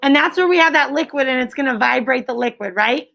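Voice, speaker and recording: A woman's voice: high-pitched, quick pace, creaky, a bit bright. A woman teaching a class as a schoolteacher, explaining in simple phrases and prompting pupils with leading questions in an encouraging way.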